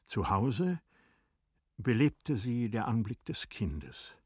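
A man narrating a literary radio drama in a measured, composed manner. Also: medium pitch, sing-song, darkish tone, husky, dry recording, studio quality.

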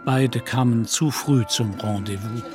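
A man with a medium-pitched voice narrates a literary radio play. He reads in measured, complete storytelling sentences, with music clearly audible behind him.

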